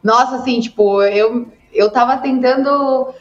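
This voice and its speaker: A woman's voice: fairly high pitch, measured pace. Young woman on an echoey lo-fi line. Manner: chatty, emotive, many fillers. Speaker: esports caster and presenter. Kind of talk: casual video call interview. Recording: echoey dull lo-fi sound.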